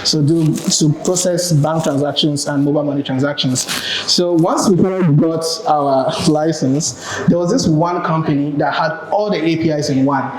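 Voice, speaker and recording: A young man with a Ghanaian accent giving a relaxed, chatty tech conference talk, with many ums and restarts. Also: fairly high pitch, a little hiss or hum. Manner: relaxed, chatty, many ums and self-corrections, laughs at own jokes. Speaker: conference speaker. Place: large conference hall with audience. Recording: roomy hall with crowd.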